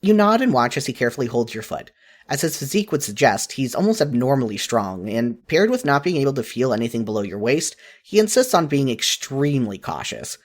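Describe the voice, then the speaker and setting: A narrator reading a second-person story aloud in an expressive style, as in a fan fiction audiobook. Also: medium pitch, a little fast.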